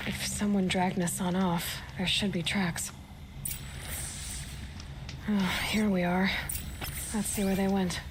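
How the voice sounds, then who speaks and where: A woman's voice: medium pitch, little pitch movement, takes it slowly, pauses often, noisy background, lightly acted. A woman playing a young, principled, self-reliant tracker in a video game, with a direct, questioning manner. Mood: focused musing. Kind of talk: video game dialogue acting. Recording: music bed behind the voice.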